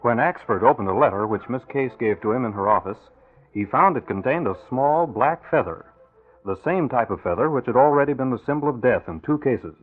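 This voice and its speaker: A man's voice: mid-range voice, expressive, a little fast. Tinny old-time American radio announcer man. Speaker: announcer and narrator. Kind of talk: 1940s radio announcing and scripted narration.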